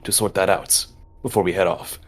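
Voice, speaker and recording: A man playing a tabletop role-playing game, casually describing his character's actions in halting phrases. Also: low voice, steady tone, slightly rough.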